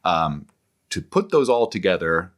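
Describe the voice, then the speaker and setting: Man, very low voice, highly varied pitch, animated explainer, conversational, scholarly interview guest. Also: unhurried. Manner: animated storyteller, acts out paraphrased quotes.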